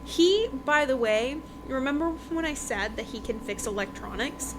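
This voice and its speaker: A woman's voice: sits high, lively intonation, normal speed. A woman host recapping a book in a casual, rambling, informal chat. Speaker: podcast host reviewing romance books. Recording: noticeable background noise.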